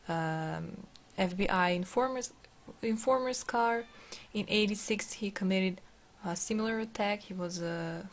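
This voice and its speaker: A woman from Serbia with a Serbian accent, reading a text aloud and translating it, halting as she searches for words. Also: medium pitch, slight background noise. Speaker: video narrator.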